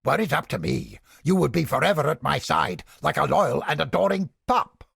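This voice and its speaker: A man playing a haughty, creepy mind-reading interrogator in a video game, with a pompous, florid, theatrical delivery and a raspy, gravelly voice. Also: on the high side, animated, normal speed, fairly bright tone, no echo, clean studio sound, exaggerated voice. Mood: oily flattery. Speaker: game character. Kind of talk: video game character voice lines.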